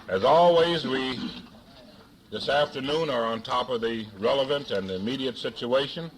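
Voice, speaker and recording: A man hosting a forum, introducing a speaker in a formal but jocular way with deliberate, halting phrasing, on an archival 1970s recording. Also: medium pitch, crowd noise, poor audio. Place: live luncheon forum before an audience.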